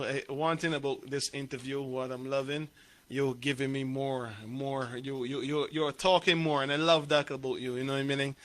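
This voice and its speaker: Radio host from St Kitts and Nevis, bright forceful tone, halting and chatty. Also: male voice, on the high side. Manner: halting questions full of "um", frequent "yeah, man". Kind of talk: radio interview, casual.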